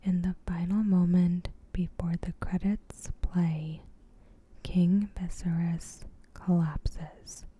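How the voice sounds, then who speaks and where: A woman doing ASMR in a hushed, breathy whisper, gently and unhurriedly reading a recap of a story. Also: fairly low pitch, muffled recording, slight background noise. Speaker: ASMR creator and host. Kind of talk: soft-spoken ASMR, reading a TV episode recap.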